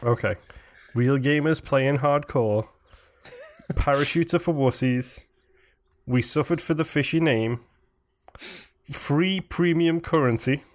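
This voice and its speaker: A man's voice: a little high, slow, pauses often. A man chatting casually as a podcast co-host.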